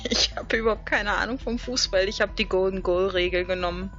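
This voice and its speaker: A woman's voice: mid-range voice, a little fast, fairly bright tone, faint music behind. Female game show contestant, casual answers, mid-range voice, muffled recording. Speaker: quiz contestant. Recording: dull, lo-fi sound.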